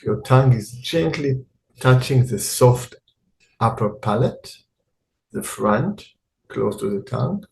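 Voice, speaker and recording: A man teaching guided meditation in a calm, unhurried way, speaking in short phrases with long pauses. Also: medium pitch, gravelly, a little hiss or hum, poor audio.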